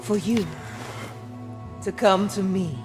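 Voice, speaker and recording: Woman playing a slow, menacing villainess. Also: medium pitch, music in the background, close to an everyday voice. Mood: gloating. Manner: slow, savouring, theatrical. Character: patient, menacing female villain, obsessed seeker of relics. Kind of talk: dramatic video game acting.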